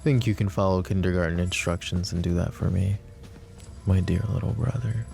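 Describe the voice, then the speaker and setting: A man voicing a possessive, menacing mafia boss in a roleplay audio drama, talking slow and taunting, with sweet pet names. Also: deep voice, steady tone, soft background music. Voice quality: soft, smooth and taunting. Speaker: voice actor.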